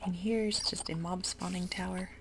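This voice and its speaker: Low, gravelly whispering woman. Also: fairly even intonation, moderate pace, heavy background noise. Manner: soft, rambling whisper with many ums. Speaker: gaming ASMR host. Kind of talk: whispered ASMR gameplay commentary.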